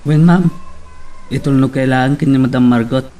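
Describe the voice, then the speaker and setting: A man's voice: medium pitch, steady tone, normal speed, dark tone, recorded from a distance, close to an everyday voice. A man with an Ilocano Filipino accent playing an obliging driver in an audio drama. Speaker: actor in an Ilocano radio-style drama. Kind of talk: amateur audio drama acting.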